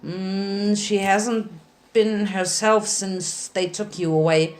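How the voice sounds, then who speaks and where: A woman voicing a weary, loving male pony father, halting and earnest, in a story reading. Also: medium pitch, fairly even intonation, natural voice. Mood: weary, hesitant. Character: lanky stallion pony father, guilt-ridden, weary, loving.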